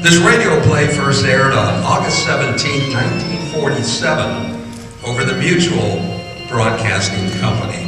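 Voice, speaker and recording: A man's voice: mid-range voice, lively intonation. Formal male radio announcer in echoey hall. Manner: formal, deliberate. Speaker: announcer and host. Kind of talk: old-time radio drama announcing. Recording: echoey hall from far off, music behind.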